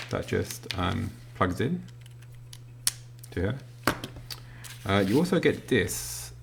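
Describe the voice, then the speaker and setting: A man's voice: deep voice, vocal fry. A man giving a casual, unscripted product review in a hesitant, matter-of-fact way, indoors at a table. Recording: noisy home recording with clicks.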